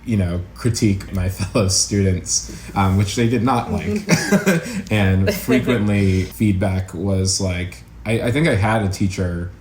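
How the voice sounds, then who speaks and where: Male interview guest, soft dark tone, thoughtful and explanatory, roomy sound with faint noise behind. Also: deep voice, expressive, a little slow. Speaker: guest, acting teacher. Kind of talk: casual interview conversation.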